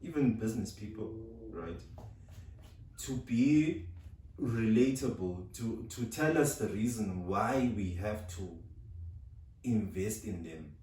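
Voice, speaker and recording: Halting, stuttering man, low voice. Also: expressive, dark tone, heavy reverb, far from the mic, rough recording. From South Africa. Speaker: podcast co-host. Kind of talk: casual studio chat. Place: recording studio.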